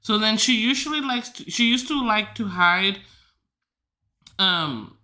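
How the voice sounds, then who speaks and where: A man hosting a podcast who tells rambling stories loudly, swearing freely and filling his talk with "like". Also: high-pitched, expressive, a little slow. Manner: loud, swears freely, filler-heavy rambling. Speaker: podcast host. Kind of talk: casual storytelling chat.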